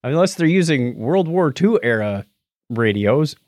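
A man's voice: a little high, very animated, a little slow, darkish tone, no room sound, clean studio sound. An American man co-hosting a show, chatting casually through news stories in a chuckly, explanatory way. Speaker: podcast co-host.

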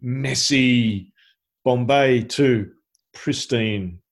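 A man's voice: medium pitch, drawn-out words. A man co-hosting a podcast, giving feedback in a casual, reflective and explanatory way. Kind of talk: casual discussion of storytelling.

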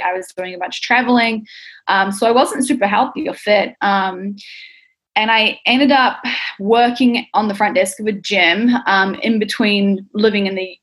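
A woman's voice: mid-range voice, fairly bright tone. A young adult woman with a New Zealand accent, talking casually, candidly and thoughtfully about herself as an interviewed athlete and coach.

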